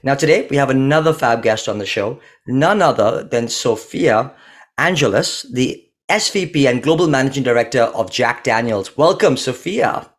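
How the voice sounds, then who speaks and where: A man's voice: mid-range voice, lively intonation, normal speed. A man hosting a podcast remotely, chatty and enthusiastic, with plenty of "um" fillers. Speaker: podcast host.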